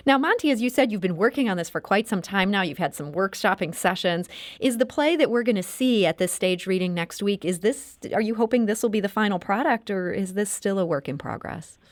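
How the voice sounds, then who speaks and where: A woman's voice: mid-range voice, lively intonation, a little fast, dry recording. A woman with an American accent hosting a public radio interview. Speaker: radio show host.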